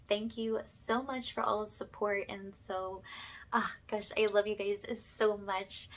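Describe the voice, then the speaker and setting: A woman's voice: mid-range voice, a bit bright. A woman hosting a spiritual ASMR channel, speaking to her viewers in an earnest, affectionate and reassuring way.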